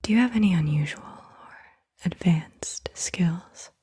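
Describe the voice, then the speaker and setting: A woman whispering softly and gently in an unhurried ASMR style, with a breathy voice, reading out questions. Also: lowish voice. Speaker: ASMR host. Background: computer keyboard typing.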